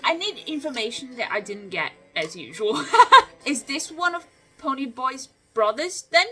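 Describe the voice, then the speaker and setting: A woman's voice: sits high, big pitch swings, a little slow, pushed and bright, slightly rough, soft background music. A woman hosting a reaction video, chatting casually, breaking down what she hears and often laughing. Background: faint musical soundtrack playing.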